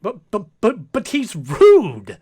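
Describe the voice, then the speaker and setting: A man acts out a by-the-book space captain in a comic radio play. His voice sits fairly high and swings widely. He stammers and frets in theatrical exasperation as he schemes. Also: huge pitch swings, slow, dark tone, husky, exaggerated voice. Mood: exasperated, flustered.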